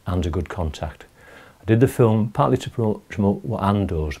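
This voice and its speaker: A middle-aged man presenting an informal video newsletter to camera, with hesitant pauses as he goes. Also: deep voice, warm tone. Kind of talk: informal talk to camera about recent projects.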